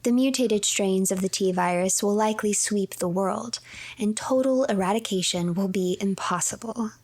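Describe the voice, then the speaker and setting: A woman with a mid-pitched voice narrates a game as a story. She is measured and deliberate, reading out notes and files like a storyteller. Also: darkish tone.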